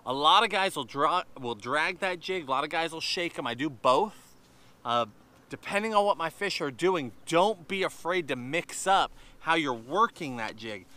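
Man, 30s, fishing host, bright forceful voice, animated, outdoors on a boat, close camera mic. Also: mid-range voice. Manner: energetic, talks with his hands, acts out the lure pops. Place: sitting on a bass boat on a lake, outdoors.

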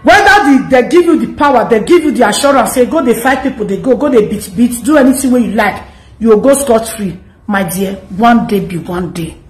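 Nigerian female commentary host, 30s, animated and scolding, higher voice, echoey room. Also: fairly quick. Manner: animated, scolding, mixes pidgin and English. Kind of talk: direct-to-camera commentary.

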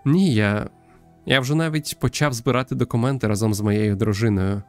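A man voicing the weary, fond ghost of a male teacher, speaking haltingly and earnestly in a visual novel. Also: mid-range voice, faint music behind, close to an everyday voice. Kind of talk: visual novel character dialogue.

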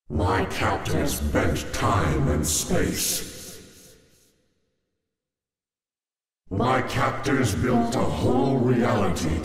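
A man's voice: low voice, full character voice. A man voicing an ancient all-consuming void entity in a video game, speaking solemn, ominous and contemptuous pronouncements. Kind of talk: scripted video game villain monologue. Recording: distant voice in long cavernous reverb.

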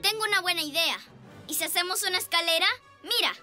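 A woman's voice: very high voice, quick pace. A woman voicing an eager, confident child who leads a rescue team in a children's cartoon dub, instructing with plenty of expression. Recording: loud music behind the voice.

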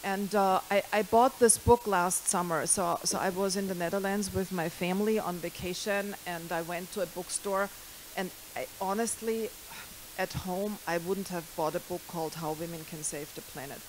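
A middle-aged woman, lecturing at a university into a handheld mic, pausing often with fillers and restarts. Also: on the low side, fairly even intonation, a little hiss or hum, rough recording. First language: German. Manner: hesitant, many fillers and restarts. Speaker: guest lecturer. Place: lecture hall.

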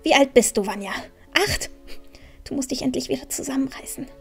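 A proud, headstrong young heroine of a fantasy audio play, acted by a woman. Her voice is high and she talks to herself with dramatic force. Faint music plays behind her. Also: brisk, gravelly, a little mellow. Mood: stern, determined. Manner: self-berating, dramatic, talking to herself. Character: proud, stubborn young heroine scolding herself, then alarmed. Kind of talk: fan-made fantasy audio drama acting.

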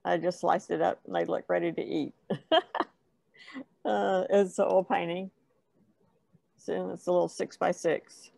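A woman's voice: on the low side, flat delivery, measured pace, creaky, long pauses, dark tone, dry recording. An older woman painter chatting casually about her own work on a video call. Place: dim indoor room on a video call. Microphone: wired earbuds.

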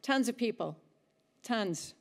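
A woman presenting an award on a banquet hall stage, lively and engaging the audience. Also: a little high, slow, vocal fry.

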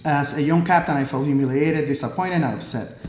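A man's voice: fairly high pitch, brisk, a little mellow, a little hiss or hum. Puerto Rican man speaking in an echoey hall. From Puerto Rico. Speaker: Army pathologist, guest speaker. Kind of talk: prepared speech read from notes. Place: hall stage at a podium. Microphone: podium microphone.

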